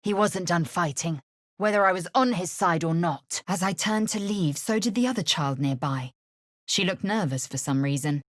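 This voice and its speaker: A woman narrating a video game, telling the story in a measured, atmospheric way. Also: fairly low pitch, no room sound, studio quality, lightly acted. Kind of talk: scripted first-person game narration.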